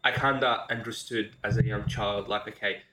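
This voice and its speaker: Low-pitched young man telling his story casually. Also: steady tone, normal speed, bright, forceful tone, rough recording. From Iraq. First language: Arabic. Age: young adult. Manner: informal, repeats phrases, frequent "like". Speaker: podcast guest.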